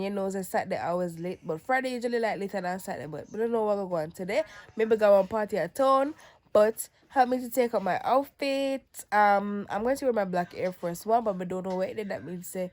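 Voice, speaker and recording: Chatty Jamaican teen girl vlogger. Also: medium pitch. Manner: chatty, rambling, drifts into patois. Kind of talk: casual vlog chat to camera.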